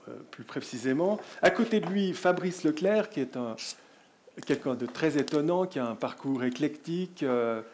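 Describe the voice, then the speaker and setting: A man's voice: on the high side, animated, moderate pace, a little mellow, slight background noise. A man with a Swiss French accent moderating a panel, introducing guests jovially with many hesitations. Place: conference hall.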